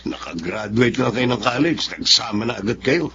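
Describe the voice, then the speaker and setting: An actor playing a protective, admonishing older brother in a Tagalog radio drama. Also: male voice, mid-range voice, animated, moderate pace, crowd noise, close to an everyday voice. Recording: dull lo-fi, faint noise.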